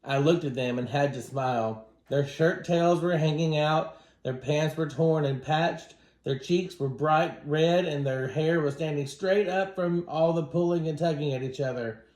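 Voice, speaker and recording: Higher-voiced man reading a storybook, roomy sound. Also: unhurried, hardly any pauses, a little mellow. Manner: dramatic, acts out dialogue. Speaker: teacher reading to students. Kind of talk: expressive children's storybook read-aloud. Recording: roomy, echoing room sound.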